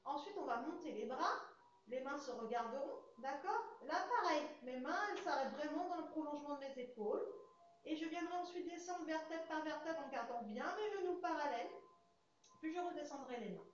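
Young English-accented woman, Pilates teacher, calm cues while exercising, high voice, faint hiss. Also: brisk. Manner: calm, counts breaths while demonstrating. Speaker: Pilates instructor. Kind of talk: guided exercise class. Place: large fitness studio with hard floor, indoors. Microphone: far camera. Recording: echoey studio, dull sound, faint hiss.

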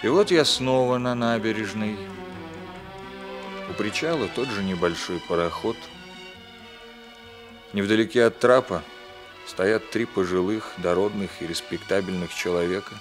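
A male actor playing a proud, stubborn police captain in a measured, restrained manner, in a 1960s Soviet radio drama. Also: on the low side, music in the background, close to an everyday voice. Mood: brooding. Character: proud, stubborn, principled police captain narrating his own story.

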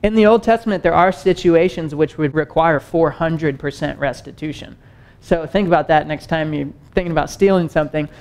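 A young man preaches in a loose, conversational way, moving around a stage as he talks. His voice sits a little higher than most men's, and his delivery stays lively and easygoing. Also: fairly quick, clear, resonant tone. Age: young adult. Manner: animated, conversational, gestures widely while pacing. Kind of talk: teaching sermon. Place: stage with wood-panel backdrop and screen.

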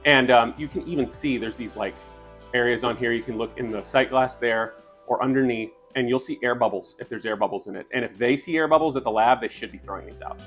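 A man in his thirties, a plant operator, talking through sampling steps in a relaxed way with lots of ums and restarts. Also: mid-range voice, a little fast, faint music behind. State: slightly raspy from a cold. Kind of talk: instructional demonstration. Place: outdoors by trees.

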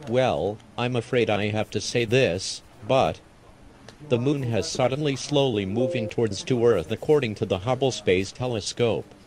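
A man's voice: medium pitch, moderate pace, a crowd in the background, lightly acted. A man's synthetic voice playing a grave space center worker in a scripted disaster drama, stilted and hesitant. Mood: grave, worried. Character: grave male space center worker bearing bad news.

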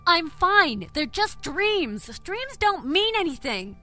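A woman's voice: extremely high pitch, big pitch swings, slow pace, pushed and bright, heavily acted. An actress playing a distressed, pleading captive woman in an audio drama, emotional and defiant. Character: distressed, pleading female captive insisting she is human. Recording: music under the voice.

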